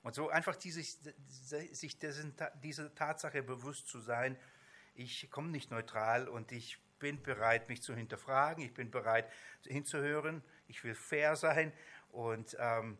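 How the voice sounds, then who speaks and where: A man who speaks as a non-native, giving an informal Bible seminar lecture in a halting way, often rephrasing mid-sentence. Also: mid-range voice, sharp tone. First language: not German. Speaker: Bible teacher.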